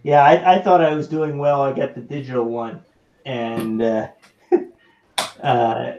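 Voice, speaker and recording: A man's voice: medium pitch, level tone, takes it slowly, dull audio. A man in his forties chats casually as a show host, rambling and hesitant. The room is echoey. A faint noise sits under his voice. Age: 40s. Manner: rambling, hesitant with many fillers. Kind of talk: casual group chat.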